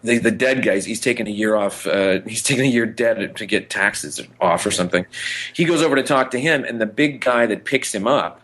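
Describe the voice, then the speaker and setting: A man's voice: on the low side, brisk, a bit bright. A middle-aged man co-hosting a podcast, chatting casually and enthusiastically in an anecdotal way about pop culture nostalgia. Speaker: podcast co-host.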